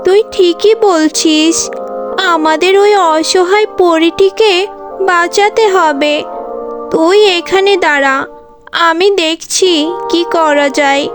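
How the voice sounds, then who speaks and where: A woman's voice: very high voice, slow pace, music in the background. A woman voicing a caring, brave mother fox in a children's cartoon, earnest and reassuring.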